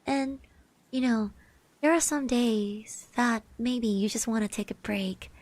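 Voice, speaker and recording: Woman playing a soft, slow-talking shy schoolgirl classmate. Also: a little high, dry recording. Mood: gently encouraging. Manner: hesitant, bashful, stammering, reassuring. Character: shy, kind eighteen-year-old girl classmate giving encouragement. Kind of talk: ASMR roleplay. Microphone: close microphone.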